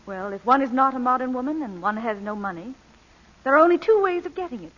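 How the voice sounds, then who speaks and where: An elegant lady crook in a vintage radio play. She is poised, candid and articulate, with a bright, forceful voice on a dull old broadcast recording. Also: high-pitched, lively intonation, fast talker. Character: poised, candid lady thief, proud and tender. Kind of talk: 1940s radio acting.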